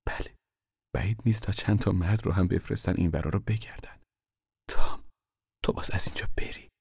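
A man voicing an older mother who fears for her fugitive son, speaking in a hushed, anxious whisper. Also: sits low, gravelly, breathy edge, dry recording, clean studio sound, full character voice. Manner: anxious, pleading, protective.

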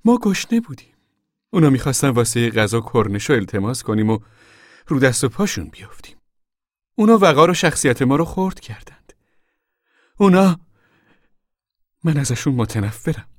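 A man's voice: mid-range voice, huge pitch swings, no echo, studio quality, voice pushed up. A man voicing a proud, outspoken poor woman in a story, insistent, emotional and bitter. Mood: bitter, impassioned.

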